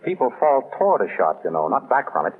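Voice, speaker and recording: An actor playing a wry former detective in an old radio drama, dry, teasing and clipped. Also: male voice, medium pitch, sing-song, fast talker, breathy edge. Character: wry male ex-detective husband. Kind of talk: 1940s radio acting. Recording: tinny old radio recording with faint hiss.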